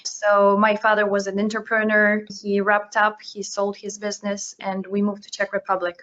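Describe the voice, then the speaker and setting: A woman originally from Ukraine whose first language is Russian, explaining her work in a measured interview answer with frequent pauses and fillers. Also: medium pitch, monotone, a bit bright. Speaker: podcast guest, portfolio manager. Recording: dull lo-fi remote recording.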